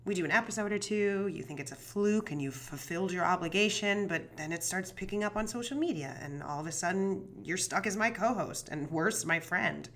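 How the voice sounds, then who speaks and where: A woman's voice: lowish voice, fairly quick, few pauses, a bit bright. An actress playing a young woman true crime podcast host, talking in an anxious, rambling, swearing, self-deprecating way. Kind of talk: audio drama monologue.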